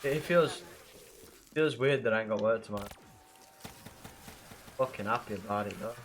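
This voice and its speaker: A young man with a blocked nose and rough throat, chatting casually and swearing with viewers while playing a game. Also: fairly low pitch, moderate pace, a little hiss or hum. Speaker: livestreamer playing a zombie game.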